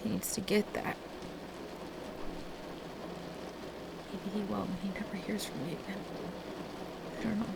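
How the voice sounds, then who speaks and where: A distressed, defensive woman played by an actress in an intimate audio roleplay. Her voice is creaky and halting, full of emotion, at a middling pitch. Clear background noise like rain runs behind her. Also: lightly acted. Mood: bitter, resolute. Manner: halting, emotional, pleading conversational delivery. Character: distressed, soaked woman wanting to leave her partner, defensive. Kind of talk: intimate ASMR roleplay audio drama, one side of a conversation.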